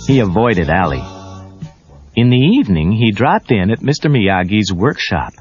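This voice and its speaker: A male narrator tells a children's read-along story in an animated, mid-pitched voice. The scripted reading sits over soft music on a slightly dull cassette recording. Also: normal speed, slightly rough.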